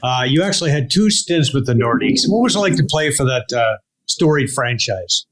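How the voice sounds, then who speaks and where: A man's voice: mid-range voice, expressive, fairly quick, a bit bright. A man hosting a podcast interview, asking questions and giving brief encouraging responses. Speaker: podcast host.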